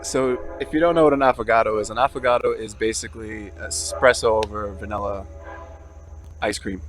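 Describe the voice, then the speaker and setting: A man's voice: lowish voice, fairly even intonation, a little fast, over music. A man who grew up in Brooklyn, a guest in a casual interview, answering in an easygoing and friendly way. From Brooklyn, New York. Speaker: guest, fitness business owner.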